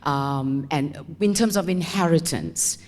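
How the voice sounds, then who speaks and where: Low-voiced older woman, talkative audience comment in a hall. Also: takes it slowly, darkish tone. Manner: chatty, laughing, wandering asides. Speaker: audience member and teacher. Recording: reverberant seminar hall.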